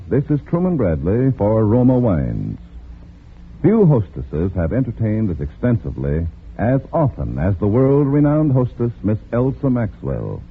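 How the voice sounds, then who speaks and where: Lively low-voiced male radio pitchman. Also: husky. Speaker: commercial announcer. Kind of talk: polished 1940s radio advertisement. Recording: thin phone-like old radio recording.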